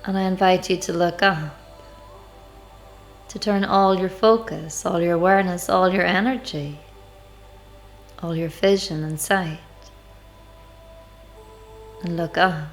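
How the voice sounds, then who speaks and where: Woman, lowish pitch, very slow pace, devotional meditation guide, deliberate pauses. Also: steady tone. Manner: measured, deliberate phrasing with pauses. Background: background music.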